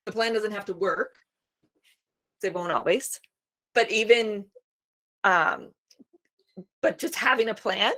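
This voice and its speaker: A woman walks parents through a homeschool lesson in a relaxed, reassuring webinar voice. Her voice sits at an ordinary middle pitch, and she fills pauses with soft "um"s. She sounds a little worn, as if she is starting to lose her voice. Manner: chatty and reassuring, frequent "um" fillers. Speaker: homeschool program co-founder presenting a webinar. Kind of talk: informal instructional webinar talk.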